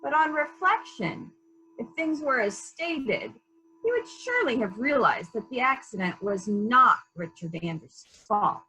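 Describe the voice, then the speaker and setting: A woman playing a calm, persistent police investigator in a murder mystery reading, formal, measured and politely probing. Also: a little high, exaggerated voice, rough recording.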